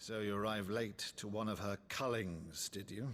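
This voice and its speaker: A man's voice: on the low side, moderate pace, a bit bright, slight background noise, natural voice. A middle-aged man playing a jovial, theatrical nobleman uncle in a video game cutscene. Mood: grave. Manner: hearty, theatrical, pompous. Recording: game score playing behind. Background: music.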